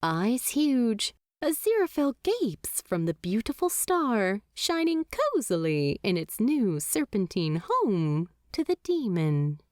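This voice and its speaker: A woman narrating a fanfiction audiobook in a measured, expressive storytelling manner. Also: on the high side, no room sound, clean studio sound.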